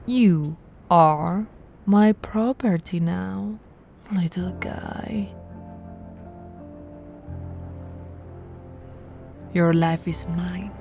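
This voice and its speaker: Imperious vampire lady played by a woman, low-pitched and unhurried, possessive and menacing, slipping into whispers, for theatrical roleplay audio. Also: a little mellow, soft background music, exaggerated voice. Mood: possessive, commanding. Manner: imperious, deliberate, often drops to a breathy whisper. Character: haughty, possessive immortal vampire noblewoman, menacing aristocrat.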